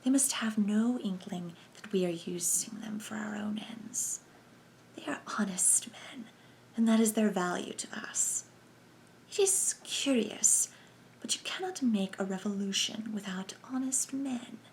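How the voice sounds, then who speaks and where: A woman voicing a sinister male conspiracy chief who speaks in a soft, sibilant whisper with a cold, menacing manner. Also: high voice, a little slow, a little hiss or hum, low-quality recording.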